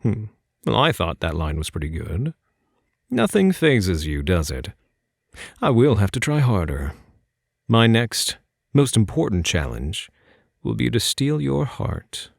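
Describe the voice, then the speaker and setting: Casting: male actor for playful, smug gentleman thief, ASMR roleplay; gravelly, very animated delivery, teasing, flirty, mock-courteous. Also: lowish voice, a little slow, long pauses, no room sound, studio quality, exaggerated voice. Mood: flirtatious, teasing. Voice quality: husky, gravelly. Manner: teasing, mock-polite, theatrical.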